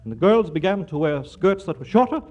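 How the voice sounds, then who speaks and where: A brash newsreel announcer, high-pitched, dramatic delivery, crackly 1940s radio. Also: male voice, very animated, a little fast, darkish tone. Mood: punchy. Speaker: newscaster. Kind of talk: 1940s radio comedy acting. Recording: hissy muffled 1940s radio broadcast.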